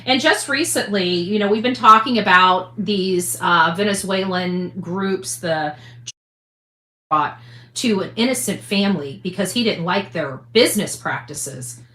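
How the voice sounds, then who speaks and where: A woman giving casual news commentary to an audience in a halting, conversational way. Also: medium pitch, pushed and bright, echoey room. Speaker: online commentator.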